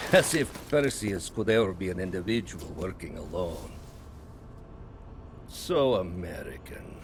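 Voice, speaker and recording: An actor playing a cold, calculating Soviet spymaster with a measured, menacing delivery in a video game cutscene. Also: male voice, deep voice, expressive, over music. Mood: amused. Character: cold, calculating Soviet spymaster, a man who plots patiently.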